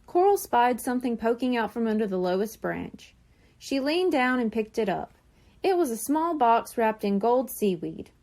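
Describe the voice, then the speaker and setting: A woman reading a children's story aloud as scripted, expressive narration. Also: on the high side, moderate pace.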